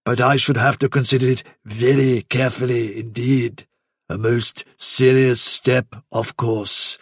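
An old chief rabbit from a storybook acted out by a man, slightly husky and closely recorded. He is courteous to a fault, vague and condescending, drawing out his phrases to put off whoever he addresses. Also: medium pitch, steady tone, no room sound, studio quality. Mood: condescending, evasive. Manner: bumbling, over-courteous, drawn-out patronising phrasing. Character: elderly male Chief Rabbit, politely condescending, vague and evasive.

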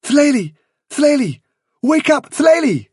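A man's voice: very high-pitched, moderate pace, breathy edge, no echo, clean studio sound, pitched above the performer's own voice. A man voicing a frightened female rabbit in an audiobook, anxious, pleading and urgent. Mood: panicked urgency.

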